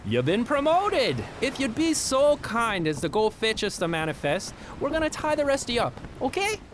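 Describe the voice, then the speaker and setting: An actor playing a big, ruthless pirate captain with folksy, mock-cheerful politeness in an audio fantasy drama. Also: male voice, very high voice, sing-song, moderate pace, a bit bright, a little hiss or hum, full character voice. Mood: cheerful menace. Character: large, jovially menacing red-bearded pirate captain, ruthless.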